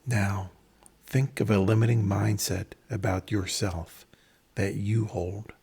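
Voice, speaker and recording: A man leading a guided meditation in a measured, instructional manner, pausing between phrases, with a breathy, husky voice. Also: on the low side, fairly even intonation, mellow.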